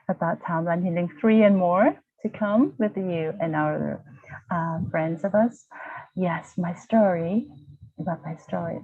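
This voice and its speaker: A woman's voice: fairly low pitch, dry recording. A woman, telling her personal story as a guest, hesitant and restarting often as she searches for words. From Vietnam. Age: 40s. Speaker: guest, university lecturer. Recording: tinny, dry video-call audio.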